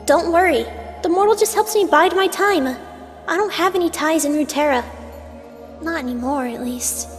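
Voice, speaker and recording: A girlish, immature magical being with an extremely high voice. She is flippant and stubborn, talking casually like a kid in a fantasy comic dub. The sound has long reverb with music behind it. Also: moderate pace. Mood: nonchalant. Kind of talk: scripted fan comic dub acting.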